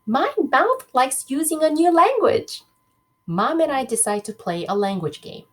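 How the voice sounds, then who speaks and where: Woman, primary teacher read-aloud. Lively swooping pitch, slightly husky, unhurried, speaks straight to the children. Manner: expressive, addresses children directly with questions. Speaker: teacher reading aloud to a class.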